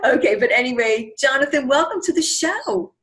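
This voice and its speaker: A woman's voice: high voice, animated, normal speed, a bit bright. A woman hosting an interview show in an upbeat, effusive, gushing way. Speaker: talk show host. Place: online video call.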